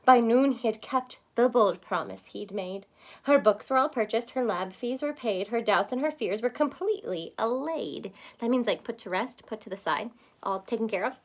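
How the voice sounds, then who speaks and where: A woman reading a children's storybook aloud expressively, in the manner of someone explaining it to young listeners. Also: on the high side. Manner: expressive, pausing to explain words simply. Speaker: storybook reader.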